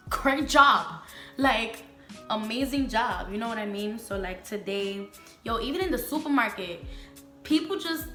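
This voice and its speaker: A woman's voice: medium pitch, pushed and bright, echoey room. A young woman from the Bronx, chatting frankly and emphatically with her viewers while doing her makeup, full of slang. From the Bronx, New York. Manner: candid and emphatic, heavy slang, talks to viewers as "sis" and "girl". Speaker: beauty vlogger. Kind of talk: casual get-ready-with-me chat.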